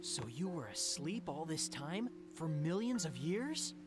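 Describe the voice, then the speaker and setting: A man's voice: a little high, sing-song, unhurried. A man voicing a brave, easygoing young hedgehog hero in a video game cutscene, breezy and confident. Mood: curious, earnest. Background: loud game music.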